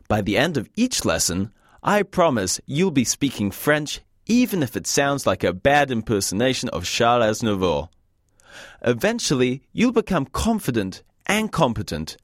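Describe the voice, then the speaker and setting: Lively British man hosting a studio lesson, joking, dry mic. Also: medium pitch, no echo. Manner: wry, joking teacher. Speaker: language course host. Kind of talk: scripted lesson narration.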